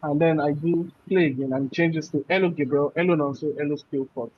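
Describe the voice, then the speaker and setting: A man's voice: on the high side, steady tone, quick pace, a little hiss or hum. Man teaching a live coding class. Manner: explains step by step, often checks with "right?". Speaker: programming instructor. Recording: muffled, dull audio.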